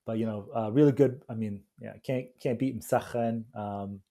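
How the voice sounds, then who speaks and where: Halting man with a dark, mellow voice. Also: fairly low pitch, steady tone. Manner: halting, repeats words and agrees readily. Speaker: interview guest, coffee company founder. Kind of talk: casual conversational interview.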